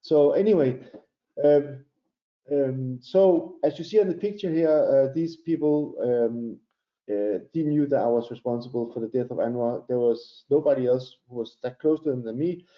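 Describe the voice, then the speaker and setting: A man from Denmark, a native Danish speaker talking English with a Danish accent, telling his story as a guest over a video call, halting with many fillers and restarts. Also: mid-range voice. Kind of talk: casual interview storytelling. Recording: roomy echo, dull lo-fi sound.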